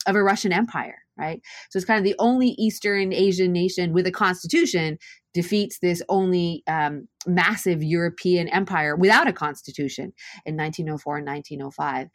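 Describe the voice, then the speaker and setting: A woman historian raised in Japan, talking through her research in an interview, thoughtful and pausing with fillers. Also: fairly low pitch, no room sound, studio quality. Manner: thoughtful, hesitant with many fillers. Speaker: historian and author. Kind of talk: academic interview.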